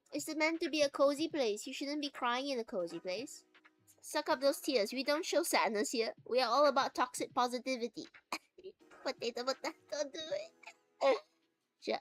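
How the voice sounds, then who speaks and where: A woman streamer chatting casually, laughing often and acting out little quoted voices. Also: a little high.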